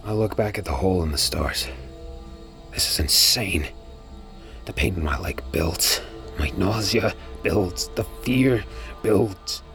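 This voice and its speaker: An actor playing a weary, uneasy space pirate with a brooding manner, as in a first-person audio drama. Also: male voice, low-pitched, slow pace, fairly bright tone, full character voice. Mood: pained, fearful. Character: weary, uneasy male space pirate narrating his ordeal. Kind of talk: audio drama acting and narration. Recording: music behind the voice.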